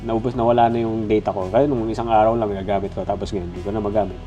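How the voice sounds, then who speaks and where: A man's voice: fairly low pitch, fairly even intonation, fast talker, mellow, lo-fi sound, faint music behind. A Filipino-accented man with a stuffy nose, giving a casual, conversational gadget review. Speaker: product reviewer.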